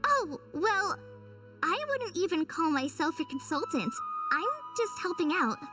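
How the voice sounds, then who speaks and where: A woman's voice: extremely high pitch, big pitch swings, measured pace, bright, forceful tone, soft background music, full character voice. A woman playing a modest, earnest teenage girl forest ranger in a video game, sweet, polite and a little flustered. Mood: bashful, flustered. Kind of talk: anime-style game voice acting.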